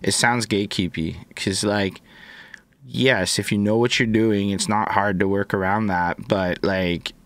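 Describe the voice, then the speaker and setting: Deep analytical podcast co-host. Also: male voice, steady tone, measured pace, a bit bright, no room sound. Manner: analytical, explaining statistics. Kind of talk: casual chat. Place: podcast studio reading a live chat.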